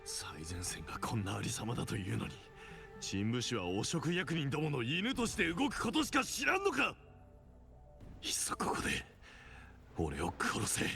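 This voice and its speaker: A man's voice: medium pitch, huge pitch swings, fast talker, creaky, pushed and bright, soft background music, full character voice. An actor playing a bitter, defiant male warrior with a scornful, intense manner in an anime game. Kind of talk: anime game voice acting in Japanese.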